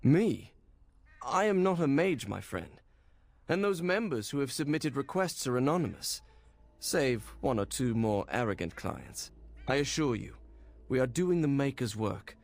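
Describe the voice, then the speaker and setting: Courteous, persuasive secret go-between. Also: male voice, medium pitch, very animated, unhurried, gravelly, darkish tone, full character voice. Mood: courteous, assured. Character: smooth, discreet male go-between for secret mages. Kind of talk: fantasy game voice acting.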